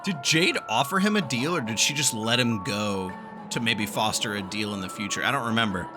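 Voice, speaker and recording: A man's voice: medium pitch, brisk. A man giving casual, playful reaction commentary as the host of a gameplay video. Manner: animated, playful. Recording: game music behind the voice.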